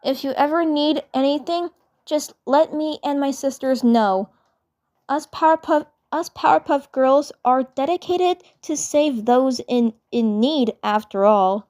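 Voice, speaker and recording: An earnest young cartoon superhero girl, acted by a woman with a higher voice. She is the polite, responsible leader, reassuring and unhurried as she repeats her points. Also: a little mellow. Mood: welcoming.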